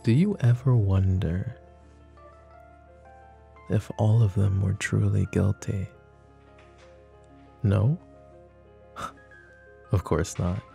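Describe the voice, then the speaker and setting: A man's voice: on the low side, steady tone, drawn-out words, creaky, long pauses, mellow, faint music behind, exaggerated voice. A man playing a smug, flirty, possessive mafia boss in an ASMR roleplay, speaking in a teasing, mocking, intimate drawl. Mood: taunting.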